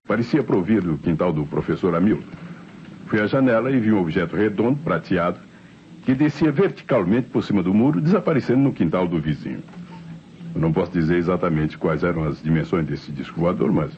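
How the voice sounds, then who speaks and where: A man's voice: deep voice, lively intonation, brisk. An actor playing a defensive married man giving hesitant, emphatic testimony in old radio drama style. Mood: uneasy recounting. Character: defensive married man, neighbour who saw a flying saucer. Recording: muffled, faintly noisy old radio recording.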